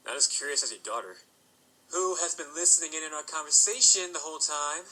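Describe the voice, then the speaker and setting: An actor playing a wary, sardonic young pony knight apprentice in an audio drama, terse and formal. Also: male voice, a little high, animated, fairly quick, very noisy, natural voice. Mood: cold, sardonic.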